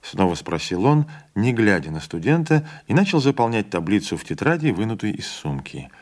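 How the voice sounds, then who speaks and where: Male audiobook reader, lower voice, measured classic narration. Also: big pitch swings, darkish tone. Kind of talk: literary narration.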